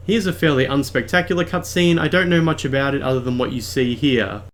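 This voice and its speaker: Man narrating game commentary, mid-pitched. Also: brisk, a little hiss or hum. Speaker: video essayist. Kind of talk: scripted game commentary voice-over.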